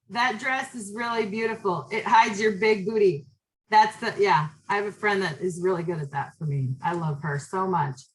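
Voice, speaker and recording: Loud, direct woman lecturing. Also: mid-range voice, poor audio. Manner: direct, chatty, lots of fillers. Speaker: professor. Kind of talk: casual classroom lecture.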